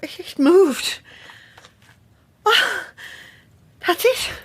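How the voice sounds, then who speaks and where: Guarded woman novelist, swooping pitch. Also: lively intonation, very slow, full character voice. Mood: flustered, alarmed. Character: sceptical female horror novelist, guarded, polite, easily rattled. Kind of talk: naturalistic audio drama acting.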